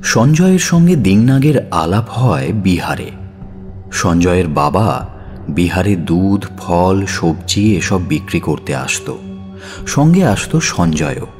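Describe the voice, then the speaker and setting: A male narrator with a low voice tells a dramatic story over soft background music. He takes his time, letting suspenseful pauses hang in a theatrical, scripted delivery. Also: big pitch swings.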